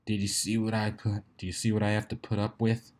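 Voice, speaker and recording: A man's voice: fairly low pitch, completely flat delivery, fairly quick, darkish tone, a little hiss or hum, close to an everyday voice. A man voicing a male pony athlete who is breezy and teasing, with a casual, joking way of talking. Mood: mock annoyed.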